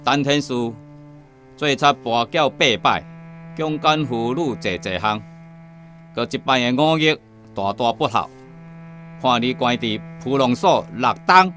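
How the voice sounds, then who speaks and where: An actor playing a stern judge in a Taiwanese radio drama, speaking in a formal, declaring way. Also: male voice, medium pitch, animated, a little slow, pauses often, a bit bright, faint music behind. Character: stern male judge passing sentence.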